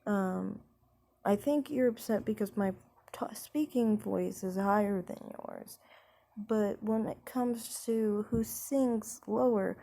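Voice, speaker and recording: A young woman vlogging about her singing in a slightly high voice, rambling and hesitant with lots of fillers. She talks at an unhurried, slow pace. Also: a little mellow. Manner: speaks slowly. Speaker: singer talking about her voice type. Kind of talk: casual vlog chat.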